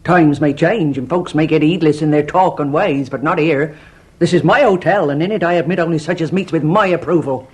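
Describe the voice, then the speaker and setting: An actress playing a stern, pious, prudish old widow who keeps an inn, with a curt, disapproving manner. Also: female voice, low-pitched, brisk, a little mellow, natural voice. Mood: stern, forbidding. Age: older. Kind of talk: stage farce radio acting.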